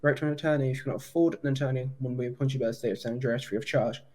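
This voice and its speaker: A man improvising procedural talk as a police detective in online roleplay, halting and informally official. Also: mid-range voice, fairly even intonation, a little mellow.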